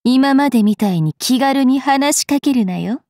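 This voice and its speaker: A woman playing a haughty, mischievous young vampire fairy priestess in an anime game, brash and teasing in a rough-spoken way. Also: high-pitched, animated, normal speed, darkish tone, dry recording, studio quality. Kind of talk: anime game character voice lines.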